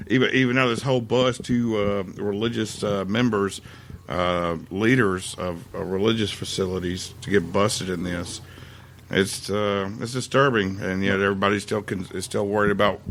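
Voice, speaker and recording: A man, co-hosting a podcast, speaking haltingly with many fillers in casual chat. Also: lowish voice, steady tone, a bit bright, slight background noise. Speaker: podcast co-host.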